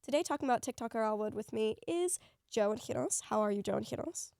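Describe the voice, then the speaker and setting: A woman's voice: on the high side, expressive, fast, gravelly, darkish tone, clean tone, no echo, clean studio sound. A young woman hosting a podcast, asking questions in a casual, friendly way with short fillers. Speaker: podcast host. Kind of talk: casual chat.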